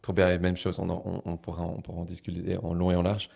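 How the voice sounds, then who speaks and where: A man's voice: sits low, completely flat delivery, quick pace, creaky, a little mellow. A man hosting a podcast interview in casual chat, hesitant and often restarting his phrases. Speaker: podcast host.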